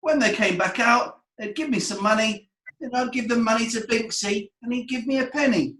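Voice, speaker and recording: Cheeky young Yorkshire errand lad played by a man, comic courtroom drama; hesitant, very high voice, echoey room. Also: normal speed, a bit bright. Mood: nervous, deferential. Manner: hesitant, deferential, self-correcting. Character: cheeky eight-year-old working-class Bradford errand boy witness. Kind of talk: comic courtroom play acting.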